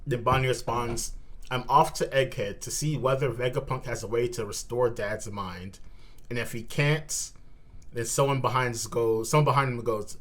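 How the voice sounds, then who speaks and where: A man hosting a live manga reaction, reading dialogue aloud and commenting with animated exclamations between slower read-aloud passages. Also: mid-range voice.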